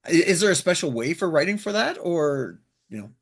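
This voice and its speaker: A man's voice: fairly high pitch, very animated, brisk, creaky, slightly rough. A man hosting a casual radio interview, asking questions in a curious, conversational manner. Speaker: radio show host, interviewer.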